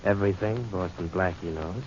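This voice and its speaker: A muffled, faintly noisy 1940s radio recording carries an actor as a tough male private eye. His very deep voice is cocky and sly, with touchy, wisecracking hard-boiled delivery. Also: fairly even intonation, brisk, lightly acted. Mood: smug.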